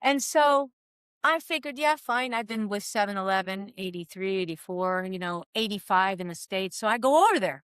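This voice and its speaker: A woman in her sixties from California, a former cycling soigneur telling animated stories in an interview and acting out the dialogue. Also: medium pitch, moderate pace, clear, resonant tone, no echo, clean studio sound. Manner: lively, acts out quoted dialogue.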